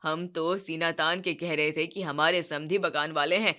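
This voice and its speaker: A woman's voice: deep voice, steady tone, moderate pace, sharp tone, voice pushed down. A woman voicing a proud, show-off middle-aged father-in-law who grumbles and boasts. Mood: grumbling.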